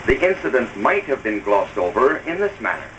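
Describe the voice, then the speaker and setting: A man's voice: mid-range voice, very animated, moderate pace, background noise. A man giving formal, didactic narration in the style of an old radio advice commentator. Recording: dull vintage soundtrack with music.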